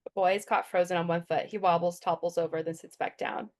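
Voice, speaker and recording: A woman's voice: on the low side, steady tone, fast talker, darkish tone. A woman reading scripted stage directions as the narrator of a table read.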